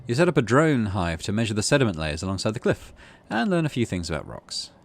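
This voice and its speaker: Male gamer, lowish voice, lively range, casual chatty commentary, reads story text aloud. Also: fast, vocal fry, a little hiss or hum. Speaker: gaming channel host. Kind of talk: casual game commentary and narration.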